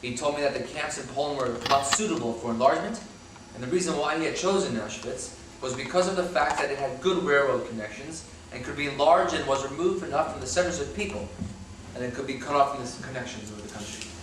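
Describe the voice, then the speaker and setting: A man playing a former Nazi commandant in his forties in a staged reading, reciting his testimony in a formal, measured, matter-of-fact way. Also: mid-range voice, natural voice. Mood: formal, unrepentant. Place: hall, recorded from a distance. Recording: very echoey hall, far mic, loud noise.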